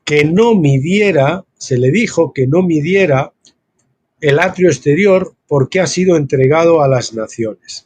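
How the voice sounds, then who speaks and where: A man's voice: on the high side, a little mellow, breathy edge, no echo. A man reading a Bible study book aloud and breaking off into casual asides of his own, like a teacher. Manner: reads text aloud, then breaks off with informal asides.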